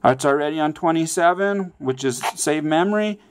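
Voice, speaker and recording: A man's voice: on the high side, moderate pace, crowd noise. A man presenting a casual step-by-step how-to, often checking in with okay and all right. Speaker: tutorial presenter.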